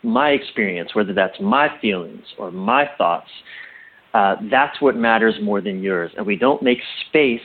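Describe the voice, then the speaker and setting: Interview guest: middle-aged male, husky, reflective and halting, emphatic on key points, on band-limited audio. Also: lowish voice, narrow, tinny audio. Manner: halting, self-correcting, emphatic. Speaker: interview guest, relationship coach.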